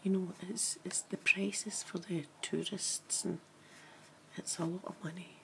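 Whispering Glaswegian woman, gravelly. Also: lowish voice, fairly even intonation, unhurried, rough recording. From Scotland. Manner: soft whisper, chatty and rambling. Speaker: ASMR creator. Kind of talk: whispered ASMR makeup role-play with rambling chat. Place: small room at home, indoors. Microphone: camera close to the face.

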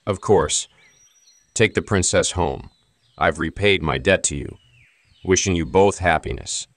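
A man's voice: low voice, a little slow, no room sound. A man voicing a strong, confident young hero in a cartoon fairy tale.

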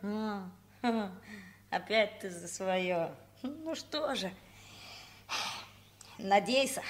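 A woman's voice: mid-range voice, lively intonation, drawn-out words. An actress playing a cheeky, sceptical orphan boy in a radio play, blunt, boyish and teasing. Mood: dismissive. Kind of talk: 1960s Soviet radio play acting.

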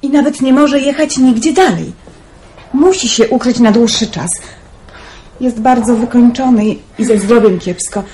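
A woman's voice: on the high side, moderate pace, dark tone, a little hiss or hum, close to an everyday voice. A woman playing urgent, persuasive Zosia. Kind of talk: radio drama acting.

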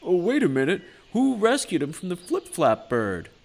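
Keen spider son played by a man, insistent, loud exclaiming, lifted pitch, animated, children's storybook. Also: normal speed, vocal fry, heavily acted. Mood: indignant. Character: helpful young spider sons, keen and insistent.

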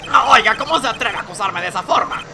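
A man's voice: high voice, lively intonation, quick pace, background noise, pitched above the performer's own voice. A man voicing a pompous, hysterical male detective and blackmailer in a video game, theatrical and haughty. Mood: indignant.

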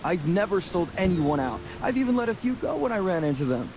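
An actor playing a cocky, smooth-talking young scoundrel in a video game, with a breezy, chummy manner. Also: male voice, high voice, sing-song, a little fast. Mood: earnest, confident. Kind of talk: video game character acting. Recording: music and rain behind the voice. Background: game music, rain.

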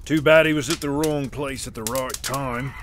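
A man's voice: mid-range voice, steady tone, normal speed, noisy background, natural voice. An actor playing a callous, unsentimental working-class henchman in an audio drama. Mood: cold, smug. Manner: matter-of-fact, unsentimental. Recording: music behind the voice.

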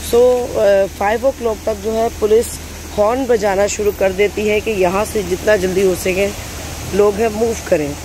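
A man with a notably high voice talks to viewers in an instructive, practical way, slipping English words into his advice. He sounds like a travel vlogger recording outdoors. Heavy background noise runs under his voice. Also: steady tone, measured pace.

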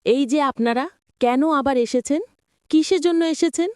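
A woman's voice: high voice, moderate pace, darkish tone, dry recording, studio quality, lightly acted. A woman voicing a defiant village farm woman in a cartoon, scolding and firm as she guards her land. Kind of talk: cartoon voice acting.

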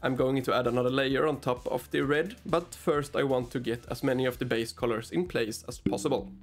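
A man in his thirties whose first language is Swedish, hosting a miniature painting tutorial with deadpan, sarcastic asides, recorded in a small hobby room on a mic in front of him. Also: medium pitch, a little fast, soft background music. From Sweden. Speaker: miniature painting channel host. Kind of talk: dry, joking tutorial narration.

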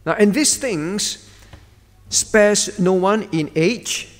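A man's voice: high voice, very slow. A middle-aged man preaching like a teacher, emphatic, repeating key words and putting questions straight to his listeners. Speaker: pastor teaching a prayer meeting. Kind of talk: sermon delivered as a lesson, with questions to listeners. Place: meeting room with a projection screen, indoors. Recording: reverberant hall recording.

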